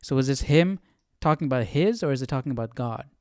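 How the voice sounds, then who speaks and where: A man hosting a casual live Bible study, thinking aloud in a halting way and asking rhetorical questions as he goes. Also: mid-range voice, expressive, darkish tone, no echo, clean studio sound.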